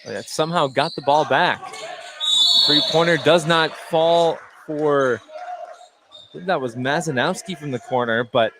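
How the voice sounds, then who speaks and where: Higher-voiced man calling basketball over gym noise. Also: unhurried. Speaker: play-by-play sports commentator. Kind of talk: live basketball radio commentary. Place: at courtside in an indoor gymnasium. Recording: live game broadcast over loud gym noise.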